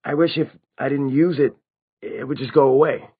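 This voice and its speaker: Male narrator as teenage vampire, anxious, earnest, defensive, emphatic, raised pitch, slightly muffled audiobook recording. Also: fairly quick, husky, natural voice. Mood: bitter.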